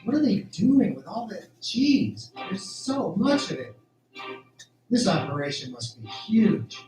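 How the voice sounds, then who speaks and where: A man playing an earnest, excitable farm-raised young hero in an audio drama, thinking aloud in exclamations. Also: high voice, takes it slowly, exaggerated voice. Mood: musing, baffled. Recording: roomy, distant, music underneath.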